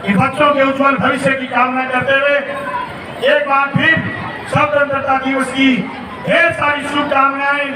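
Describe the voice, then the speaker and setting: Man, legislator addressing an outdoor crowd, very high-pitched and declamatory, booming over PA echo from afar. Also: flat delivery, normal speed, recorded from a distance. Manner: rousing, declamatory, often shouting. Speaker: member of state legislature. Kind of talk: public speech at Independence Day ceremony. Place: outdoor public ceremony ground. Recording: echoey loudspeaker sound from afar, crowd noise.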